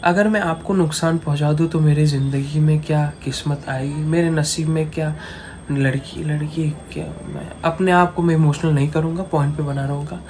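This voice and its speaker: A man with an Indian accent reviewing a film off the cuff as a channel host, halting and repeating himself, dropping English phrases into Hindi. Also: on the high side, steady tone, darkish tone. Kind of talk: unscripted movie review to camera. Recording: clearly noisy, ambient background noise.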